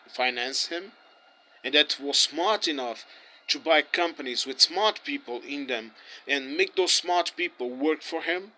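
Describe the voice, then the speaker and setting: A man's voice: on the high side, pushed and bright, low-quality recording. A man whose first languages are Portuguese and German, speaking English in a heated, ranting way with many restarts. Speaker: interviewee. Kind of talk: impassioned street opinion.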